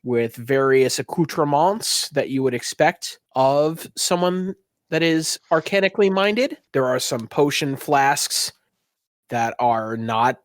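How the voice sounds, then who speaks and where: A man's voice: mid-range voice, lively intonation, takes it slowly, no echo, clean studio sound. A man narrating as game master of a tabletop game, moving between scene description and casual banter.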